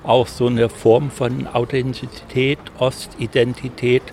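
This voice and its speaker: A man's voice: medium pitch, level tone, measured pace, a little mellow, slight background noise. A man interviewing a guest, feeling his way somewhat hesitantly into his questions.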